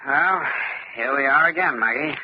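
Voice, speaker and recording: An actor playing a confident, brisk male insurance investigator in an old-time radio drama, with a clipped, commanding manner. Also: mid-range voice, a little hiss or hum, natural voice. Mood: determined. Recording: muffled vintage radio recording.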